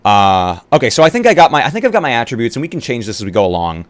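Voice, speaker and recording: A man's voice: mid-range voice, big pitch swings, very fast, dry recording. A man chatting casually on a live roleplaying stream as he talks through building a game character.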